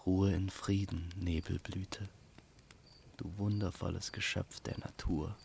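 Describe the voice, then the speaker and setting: A man narrating a fantasy audio story as its host, in a calm, poetic and unhurried way. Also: sits low, creaky, a little hiss or hum.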